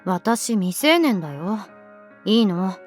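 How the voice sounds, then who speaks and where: A woman's voice: mid-range voice, very animated, slow, faint music behind. A woman playing a sulky, lovesick teenage schoolgirl in a Japanese voice drama, speaking bluntly and hesitantly. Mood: blunt, pouting. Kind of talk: Japanese voice drama acting with hushed inner monologue.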